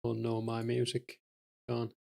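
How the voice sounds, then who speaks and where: A man's voice: medium pitch, monotone, unhurried, creaky, darkish tone. A man with a Finnish accent chatting casually with his stream chat while he plays, answering viewers' questions with an easygoing, filler-laden delivery. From Finland. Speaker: game streamer.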